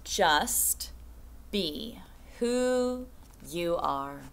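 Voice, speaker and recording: A woman in her thirties, a teacher, reading a picture book aloud to young children in a classroom with a slow, sing-song storytime delivery. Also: fairly low pitch, animated, a bit bright, clean tone. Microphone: webcam at desk.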